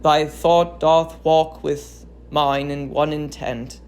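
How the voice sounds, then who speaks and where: A woman's voice: low voice, level tone, slow, a little mellow, pitched below the performer's own voice. A woman voicing a stern, wrathful Greek king with a commanding, declamatory delivery in a dramatic audiobook. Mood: cold resolve.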